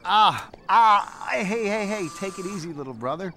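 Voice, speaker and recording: An actor playing a proud, stubborn young wounded Jedi knight in an audio drama, with a wry, stoic manner. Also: male voice, high-pitched, lively intonation, normal speed, sharp tone, slight background noise, full character voice. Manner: wry, stoic, brushing off concern.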